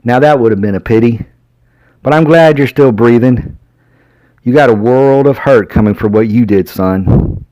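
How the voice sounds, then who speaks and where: A man voicing a gruff older sheriff who taunts and accuses a suspect during an interrogation. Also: mid-range voice, normal speed, dark tone, dry recording, lightly acted, poor audio. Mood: taunting. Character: gruff older male small-town sheriff, menacing interrogator.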